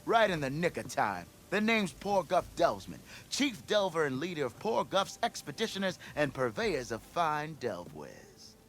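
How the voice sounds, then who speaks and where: A boastful expedition leader for a video game, grandiose and flattering. His fairly high voice swings widely up and down as he turns every line into florid showmanship. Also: moderate pace, few pauses, soft background music, exaggerated voice. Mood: gleeful, flattering. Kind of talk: video game character acting.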